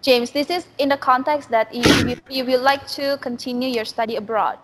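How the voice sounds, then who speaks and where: Woman, teacher hosting online Q&A, playful, high voice, video call. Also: steady tone, a little fast, a bit bright, a little hiss or hum. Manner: playful, switches between English and Indonesian. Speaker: teacher hosting a school webinar. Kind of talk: casual online Q&A moderating.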